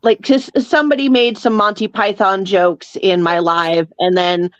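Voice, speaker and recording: Slightly muffled, dry call audio carries an American woman's voice. She talks casually at a middling pitch, like a guest chatting on a livestream. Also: fairly even intonation, normal speed, dry recording. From United States. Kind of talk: casual chat.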